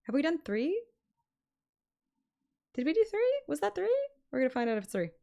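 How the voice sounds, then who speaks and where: A woman chatting casually on a livestream in an animated, self-deprecating and sometimes sweary way. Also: high-pitched, moderate pace, creaky. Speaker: live streamer and amateur voice actor. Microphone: microphone at adjustable close distance.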